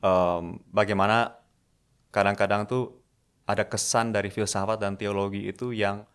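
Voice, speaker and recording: A young man, a seminarian, telling his life story at a measured pace in a casual podcast interview. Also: lowish voice, little pitch movement, a bit bright, smooth. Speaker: guest, a seminarian.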